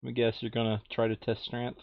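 A man's voice: mid-range voice, completely flat delivery, brisk, smooth, no echo. A man chatting casually and jokingly as he plays a tabletop game with a friend. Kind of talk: casual gameplay chat. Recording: slightly dull, dry close recording.

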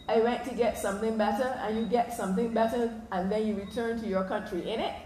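A Barbadian woman giving a public lecture into a stand mic on a hall stage, animated, slipping into local dialect at times. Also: a little high, fast talker, slight background noise. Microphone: stand mic, filmed from the audience. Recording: echoey hall, faint ambience.

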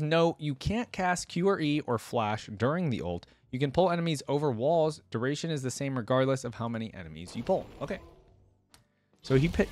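A young man giving casual, informal gameplay reaction commentary, musing aloud into a close microphone. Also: mid-range voice. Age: young adult. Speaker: reaction video host. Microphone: close desktop microphone with headset. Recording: clear background noise.

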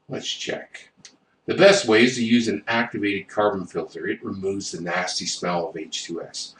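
A man narrating an instructional plumbing video, reading technical figures step by step with the odd self-correction. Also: deep voice. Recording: roomy, distant mic with faint noise.

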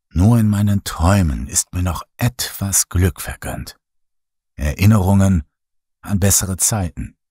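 A man reading a first-person horror story in a slow, hushed, brooding way. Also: deep voice, husky, no room sound, studio quality. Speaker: audiobook narrator.

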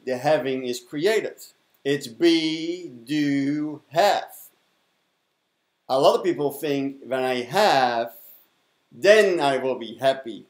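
A man's voice: a little high, a little mellow. A man in his fifties with a Dutch accent gives an effusive spiritual talk to camera, repeating affirming words. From Netherlands. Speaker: spiritual mentor and broadcast host. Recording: roomy, echoing indoor sound.

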